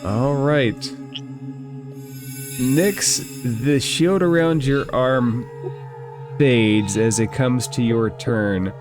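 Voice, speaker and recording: Male, game master, medium pitch, conversational, explanatory narration, background music. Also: extremely slow, pauses often. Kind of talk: narrating and refereeing a tabletop role-playing game.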